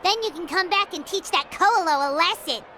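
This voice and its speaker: A woman's voice: normal speed, very noisy. A woman voicing a tiny childlike fairy companion, chirpy and outspoken, in an anime video game. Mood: encouraging. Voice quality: squeaky, childlike. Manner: refers to herself in third person, excitable. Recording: loud background music. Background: music.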